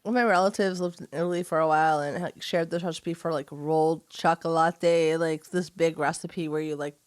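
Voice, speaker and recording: A woman's voice: low voice, fairly even intonation, fairly quick, clear, resonant tone, no room sound, studio quality. An American woman co-hosting a podcast, chatting casually in an informal, giggly way. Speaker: podcast co-host. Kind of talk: casual chat.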